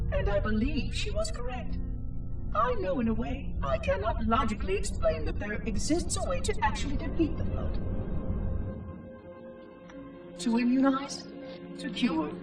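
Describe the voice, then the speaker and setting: A man's voice: very high-pitched, full character voice. A man voicing a lonely, fussy, ancient artificial intelligence in a video game, speaking in a formal, precise, musing way with a synthetic edge. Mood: wistful, reasoning. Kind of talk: scripted video game monologue. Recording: echoey, distant, music underneath. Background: loud music.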